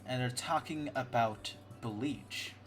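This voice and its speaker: A man's voice: medium pitch, takes it slowly, a bit bright. A man hosting a casual podcast chat, talkative, laughing often and stumbling over restarts. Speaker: podcast host.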